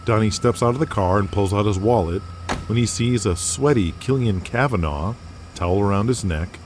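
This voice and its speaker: A man's voice: fairly low pitch, moderate pace, noisy background. A man reading scripted, dramatic present-tense narration as the storyteller of an audio drama. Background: music.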